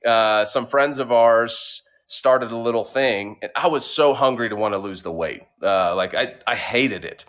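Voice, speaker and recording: A man from Oklahoma with a slight Oklahoma drawl, telling his life story as a guest in a casual interview, relaxed and full of fillers. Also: mid-range voice. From Oklahoma, USA. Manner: relaxed, drawls some words, frequent fillers. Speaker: podcast guest, entrepreneur.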